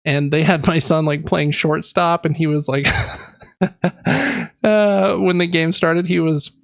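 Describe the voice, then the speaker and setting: Higher-voiced American man with a creaky edge. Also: steady tone, a little slow, no room sound. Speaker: podcast host. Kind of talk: casual podcast chat.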